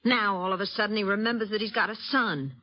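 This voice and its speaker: Actress as a husky, earnest frontier aunt. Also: female voice, medium pitch, natural voice. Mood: indignant, upset. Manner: earnest, emotional, halting. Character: protective, wounded frontier aunt, a plain-spoken dressmaker. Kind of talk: old-time radio western melodrama. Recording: dull, lo-fi old radio recording.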